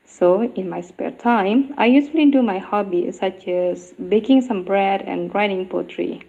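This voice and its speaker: Woman from Indonesia with a gravelly, dark voice. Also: mid-range voice, a little slow. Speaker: tutor introducing herself. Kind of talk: read self-introduction. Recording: dull, lo-fi recording.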